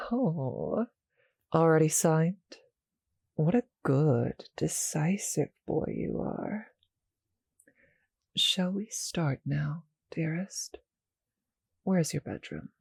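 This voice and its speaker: Slow, creaky, seductive vampire, acted for audio roleplay. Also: medium pitch, a little mellow, dry recording, exaggerated voice. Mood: polite, eager. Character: seductive, doting vampire with a teasing, possessive streak. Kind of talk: intimate ASMR roleplay audio.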